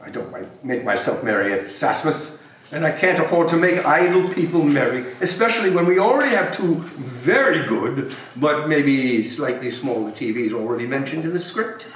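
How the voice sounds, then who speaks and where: A man's voice: fairly high pitch, lively intonation, moderate pace, a bit bright. Grumpy old miser played by a man, curt and dismissive, swinging delivery, distant in a very echoey room. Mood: curt, grumbling. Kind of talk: amateur comic dramatic play reading. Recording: very echoey room, distant mic, faint noise.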